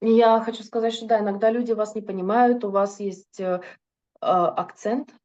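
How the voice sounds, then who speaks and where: Mellow-voiced woman interpreting a lecture. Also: mid-range voice, flat delivery, normal speed, lo-fi sound. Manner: fluent, with occasional hesitations and self-corrections. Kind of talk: consecutive interpretation into Russian.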